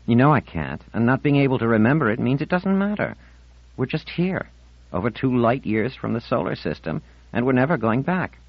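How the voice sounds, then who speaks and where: A man's voice: mid-range voice, big pitch swings, a little fast, no room sound, lo-fi sound, pitched above the performer's own voice. A man voicing a moody, articulate teenage girl in an audiobook, insistent and indignant in manner. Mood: haughty.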